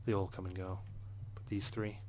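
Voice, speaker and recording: A man's voice: deep voice, little pitch movement, normal speed, slight background noise. A man reading visual novel narration aloud in an introspective, first-person manner. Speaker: let's play narrator.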